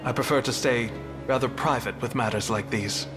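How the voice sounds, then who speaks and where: Man acting reserved, courteous gentleman over music. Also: medium pitch, normal speed, lightly acted. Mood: guarded, courteous. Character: reserved, courteous man meeting an old acquaintance. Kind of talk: fantasy game acting.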